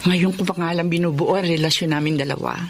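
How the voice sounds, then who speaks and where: A woman's voice: fairly low pitch, fairly even intonation, fast, noisy background, close to an everyday voice. An actress playing a protective, worried middle-aged mother in a Filipino radio drama, with an earnest, concerned delivery. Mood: wistful, reserved.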